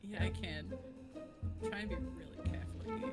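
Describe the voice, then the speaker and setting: A woman's voice: medium pitch, moderate pace, loud music behind the voice. A woman chatting casually as she plays an online game with friends. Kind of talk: casual gaming chat.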